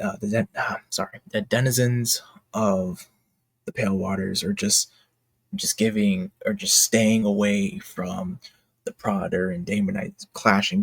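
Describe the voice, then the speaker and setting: A man giving casual behind-the-scenes commentary as an indie creator, talking in a halting, rambling, enthusiastic way. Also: lowish voice, gravelly, breathy edge, no echo. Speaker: indie comic creator and video host.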